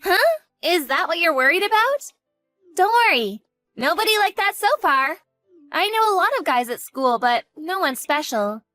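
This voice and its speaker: A woman's voice: very high-pitched, animated, normal speed, pushed and bright, dry recording, soft background music, exaggerated voice. A woman voicing a devoted college-age daughter who talks earnestly and grows panicked in a dramatized story. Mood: reassuring.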